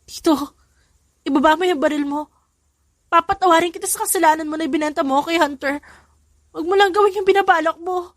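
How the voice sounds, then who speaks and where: A woman's voice: very high-pitched, brisk, pauses often, no echo, voice pushed up. Woman playing an earnest, protective young wife. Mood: pleading.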